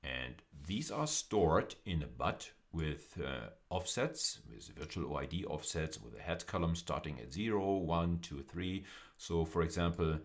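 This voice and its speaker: Very deep-voiced male lecturer. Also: animated, unhurried. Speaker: university lecturer. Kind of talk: video lecture, explanatory.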